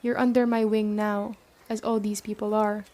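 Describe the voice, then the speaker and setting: A woman playing a stern but caring survivor camp leader in an ASMR roleplay, speaking briskly and matter-of-factly straight to the listener. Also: medium pitch, flat delivery, warm tone, lightly acted. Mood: apologetic, softening. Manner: brisk, matter-of-fact, addressing the listener directly.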